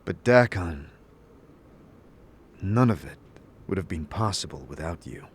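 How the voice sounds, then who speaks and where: A man playing a thoughtful, sincere wanderer on a quest in a fantasy audio drama, earnest and a little halting. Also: sits low, animated, breathy edge, full character voice. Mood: grateful, sincere.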